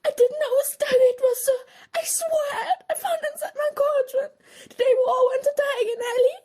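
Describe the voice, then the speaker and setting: A woman's voice: very high voice, steady tone, moderate pace, a little mellow. A woman playing a frightened, tearful young schoolgirl who pleads apologetically in an audio drama. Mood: tearful, apologetic. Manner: pleading, sobbing delivery.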